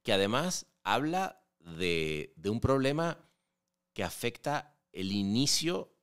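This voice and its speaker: A man in his forties from Venezuela with a Venezuelan Spanish accent, a guest chatting in an interview, relaxed, with many fillers and restarts. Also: mid-range voice, slow, clean tone. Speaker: podcast guest, filmmaker. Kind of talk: casual interview conversation.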